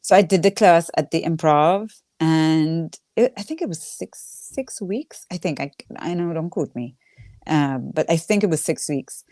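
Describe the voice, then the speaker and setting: A woman's voice: low voice, measured pace, mellow, dry recording, studio quality. A woman with a thick Trinidadian accent speaking candidly in a casual interview as a stand-up comedian, acting out reactions as she tells stories.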